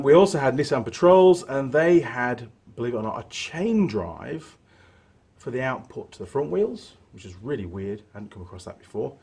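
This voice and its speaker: A man, hoarse from a cold, hosting his workshop channel and chatting casually through a gearbox teardown with plenty of fillers. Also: mid-range voice. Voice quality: husky. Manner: chatty, relaxed, rambling with fillers.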